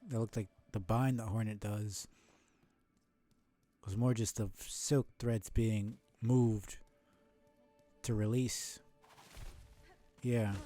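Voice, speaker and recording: A man with a fairly low, very mellow and relaxed voice gives live gameplay commentary. He talks casually, as if thinking aloud to himself while he plays. His language is loose and sweary. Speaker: streamer playing a video game.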